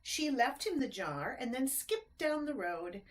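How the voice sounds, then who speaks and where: Slow-paced woman reading a children's storybook. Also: high voice, a little hiss or hum. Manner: expressive, explains and asks the listeners simple questions. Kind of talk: read-aloud of a rhyming storybook with chatty asides.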